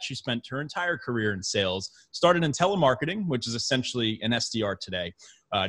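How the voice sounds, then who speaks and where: A man's voice: medium pitch, a bit bright. Eager young male podcast host. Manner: eager, filler-heavy, restarts often. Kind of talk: conversational interview with sponsor read.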